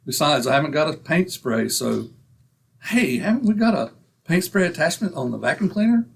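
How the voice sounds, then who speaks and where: A man's voice: on the high side, very animated, normal speed, gravelly, husky, heavily acted. A man acting a stubborn, penny-pinching husband in old-time radio comedy, indignant and folksy in manner. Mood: grumbling, scheming.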